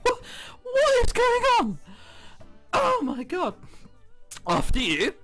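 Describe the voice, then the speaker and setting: A man doing a let's play of a visual novel, reading aloud and reacting in a giggly, excitable way. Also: extremely high pitch, slow pace. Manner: giggly, excitable, often breaking into laughter while reading. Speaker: video game commentator. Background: game background music.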